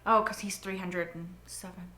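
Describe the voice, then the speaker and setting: A woman's voice: on the low side, fairly even intonation, normal speed, over music. A woman hosting a reaction video, making chatty, reflective asides. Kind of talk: casual reaction commentary. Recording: echoey room.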